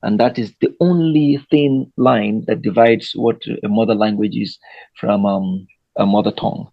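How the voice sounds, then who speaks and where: A man's voice: on the low side, normal speed, vocal fry, no echo. A man with a Nigerian English accent hosting a panel discussion, hesitant with many fillers, over a lo-fi online call. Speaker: host.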